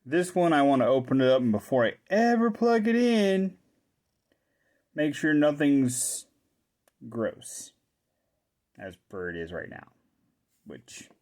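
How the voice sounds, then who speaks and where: A man giving a casual hands-on show-and-tell as a hobbyist repairer, thinking aloud in short, halting, informal phrases. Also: medium pitch, a little mellow. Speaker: hobbyist repairer presenting his own video.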